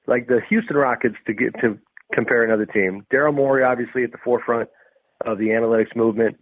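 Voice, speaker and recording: A man's voice: medium pitch, steady tone, fast talker, slightly rough, narrow, tinny audio. A man giving analytical sports commentary in a conversational, emphatic way. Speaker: sports podcast host.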